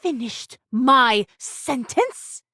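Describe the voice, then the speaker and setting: A woman's voice: sits high, animated, very slow, bright, forceful tone, no room sound, studio quality, full character voice. A woman voicing a vengeful, taunting female pirate in an anime video game, with a menacing, theatrical way of talking. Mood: bitter, taunting. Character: vengeful, taunting female pirate first mate, one-eyed ex-convict.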